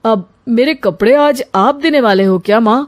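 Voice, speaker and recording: A woman's voice: fairly high pitch, normal speed, natural voice. A woman voicing a puzzled, complaining adult son in a family story, plaintive and questioning.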